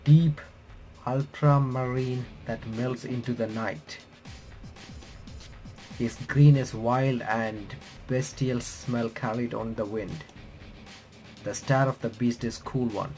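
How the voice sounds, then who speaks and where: A man does a let's-play, reading game text out loud in a halting, mid-pitched voice and dropping in casual asides. He stumbles over phrases and rereads them, with some game music playing behind him. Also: fairly even intonation. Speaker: let's-play video creator reading a visual novel aloud. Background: visual novel music.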